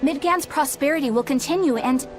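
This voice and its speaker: Lady playing prim earnest young exorcist girl in a game, high voice, very polite, light music under. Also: brisk, close to an everyday voice. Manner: formal and courteous. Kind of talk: video game voice acting.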